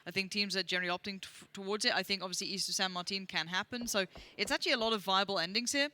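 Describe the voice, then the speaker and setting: High-voiced excitable male esports caster. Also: rapid-fire, clear, resonant tone. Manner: excitable, shouts during fights. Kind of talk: live esports commentary. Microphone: headset.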